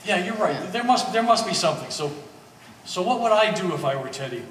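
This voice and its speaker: A man playing a wry, excitable treasure hunter in a stage play, thinking aloud in halting phrases. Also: high-pitched, recorded from a distance. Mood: musing, wry. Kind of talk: live one-act stage play. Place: art gallery performance space. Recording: echoey hall from afar, faint noise.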